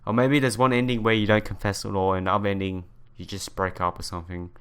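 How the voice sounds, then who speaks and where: A man's voice: on the low side, level tone, quick pace, fairly bright tone. A young man playing a visual novel for viewers, reading aloud and commenting in an informal, earnest way. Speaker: let's player. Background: game music.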